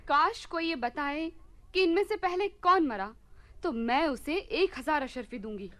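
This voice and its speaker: A woman's voice: sits high, expressive, unhurried, fairly bright tone. An actress playing an imperious, lamenting queen in a radio play.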